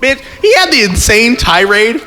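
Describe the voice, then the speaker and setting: A man's voice: very high-pitched, big pitch swings, normal speed, bright, forceful tone. A man hosting a podcast, reading a story aloud casually, stumbling over the text and breaking off to joke and comment. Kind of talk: casual read-aloud with joking banter. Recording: long reverb, music underneath. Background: music.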